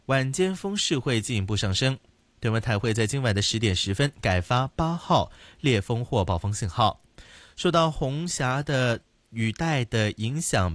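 Steady male radio newsreader, dry studio sound. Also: medium pitch, no echo. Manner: steady, measured delivery. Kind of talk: formal news bulletin reading.

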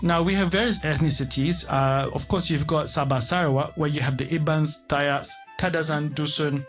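A man with a Malaysian accent speaks as an interview guest, his voice pitched a little high for a man. He explains patiently and reels off lists of names, stopping often on "uh". Faint music plays quietly underneath. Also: steady tone, normal speed. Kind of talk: conversational interview.

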